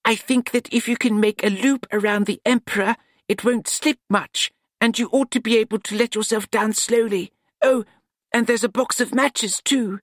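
A man's voice: sits high, fairly even intonation, moderate pace, no echo, clean studio sound, pitched above the performer's own voice. A man voicing a meek, cheerful young servant in an audiobook, deferential and earnest.

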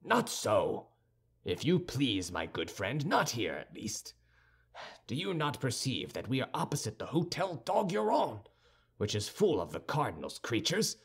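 A man voicing a haughty, sardonic young musketeer in an audiobook, formal, measured and condescending. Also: mid-range voice, wildly swooping pitch, gravelly, breathy edge, full character voice. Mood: sardonic, composed. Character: cool, haughty young musketeer with churchly airs, dryly sardonic. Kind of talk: audiobook character dialogue.

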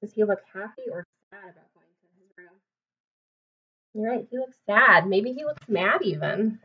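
A woman in her twenties reading aloud to young children like a teacher, asking them simple questions in an animated way. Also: mid-range voice, moderate pace, breathy edge. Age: 20s. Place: small home room, indoors. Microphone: webcam or laptop mic at arm's length. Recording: dull webcam sound, distant mic.

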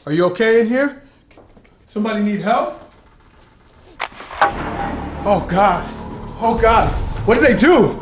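An actor playing an uneasy, stubborn former pit worker in a post-apocalyptic audio drama, folksy and informal. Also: male voice, sits high, animated, unhurried, pauses often, slight background noise. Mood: alarmed. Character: uneasy but stubborn male former slag-pit worker, plain-spoken.

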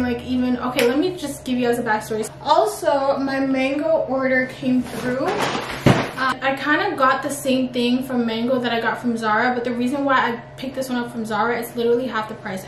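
A woman's voice: high voice, fairly even intonation, a little fast. A young woman vlogger chatting casually to her viewers in a gushing way, saying "like" often. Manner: gushing, filler-heavy "like" speech. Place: indoors at home, echoey room. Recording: echoey room, far from mic, noisy.

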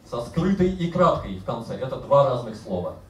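A man in his thirties doing a self-mocking, deadpan stand-up routine on a bar stage. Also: mid-range voice, moderate pace, far from the mic, slight background noise. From Siberia. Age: 30s. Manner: deadpan, self-mocking, acts out other voices. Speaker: stand-up comedian. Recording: roomy live bar recording from afar.

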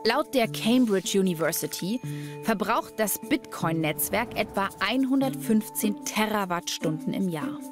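A woman's voice: medium pitch, expressive, a little slow, music in the background. A woman reading scripted narration for a documentary.

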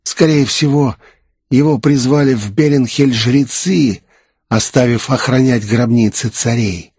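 A man's voice: medium pitch, a little slow, darkish tone, breathy edge, no echo, studio quality. A man voicing an assured, composed naga necromancer who explains his schemes in an audiobook.

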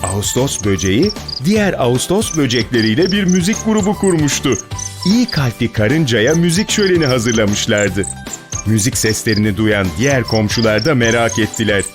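A man's voice: mid-range voice, lively intonation, moderate pace. A man narrating a scripted children's fairy tale as a storyteller. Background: music.

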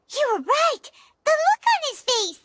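An excitable, indignant little fairy girl in a cartoonish fantasy game. Her squeaky, childlike voice is extremely high and leaps wildly in pitch. Noise is clearly audible behind her. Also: slow, full character voice. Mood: startled, excited. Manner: exclamatory, inquisitive. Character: tiny childlike female floating fairy companion, excitable, indignant. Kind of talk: cartoonish video game acting.

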